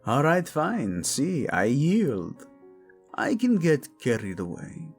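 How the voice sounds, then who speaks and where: Romantic audio drama lead: male actor as a devoted king, intimate and teasing, husky with widely swinging intonation. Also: medium pitch, huge pitch swings, soft background music, full character voice. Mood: wry, yielding. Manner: soft, intimate, teasing, halting. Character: devoted young Spanish-speaking king, hot-tempered yet playfully tender. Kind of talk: romantic audio roleplay addressed to the listener.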